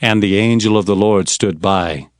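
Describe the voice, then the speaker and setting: A man playing an earnest prophet who recounts his visions in formal, expressive storytelling for dramatized scripture. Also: fairly low pitch, moderate pace, no echo, clean studio sound, close to an everyday voice. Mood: reverent. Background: faint music.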